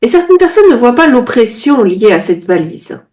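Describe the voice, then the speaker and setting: A woman's voice: a little high, very animated, a little mellow. Woman interpreting a sermon. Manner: quick restating, occasional self-corrections.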